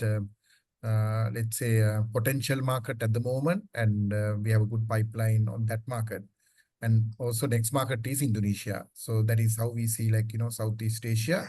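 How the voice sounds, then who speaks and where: A man's voice: medium pitch, warm tone, no echo. A man speaking as a company executive, answering questions off the cuff in a conversational way, often saying "like, you know.". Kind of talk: unscripted business earnings call.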